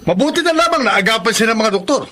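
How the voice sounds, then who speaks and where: A man's voice: very high-pitched, lively intonation, fast talker, bright, forceful tone, full character voice. A man playing an elderly, stern and protective uncle in a Tagalog radio drama, speaking in an admonishing, preachy way. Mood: stern scolding.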